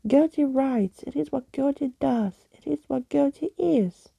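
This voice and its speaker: A woman's voice: high voice, a little slow, gravelly, warm tone, dry recording, pitched above the performer's own voice, exaggerated voice. A woman voicing a needy, childlike female ghost creature, plaintive and trembling, who talks of herself in the third person.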